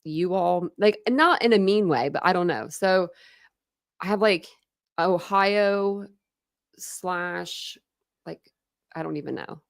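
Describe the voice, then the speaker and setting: A woman's voice: on the low side, vocal fry, dry recording. American woman rambling on a true crime stream, midwest-southern mix accent, dry close sound. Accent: mixed Midwest and Southern American. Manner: chatty, rambling, quick asides with laughs. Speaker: true crime livestream host. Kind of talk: casual livestream chat reading comments.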